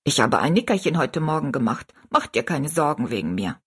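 A woman voicing a tiny, kindly old dwarf woman who fusses in a motherly, scolding way, with a husky voice. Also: low voice, sing-song, a little fast, fairly bright tone, pitched below the performer's own voice, full character voice. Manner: fussy, motherly, scolding fondly.

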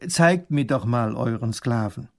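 A man's voice: medium pitch, expressive, a little slow, vocal fry. A man voicing a naive, curious little grand duke who stammers through his questions.

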